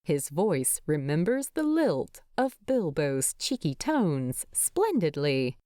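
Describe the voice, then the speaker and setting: A woman narrating a story in an expressive, tender way. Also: deep voice, takes it slowly, dry recording, studio quality. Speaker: fan fiction narrator.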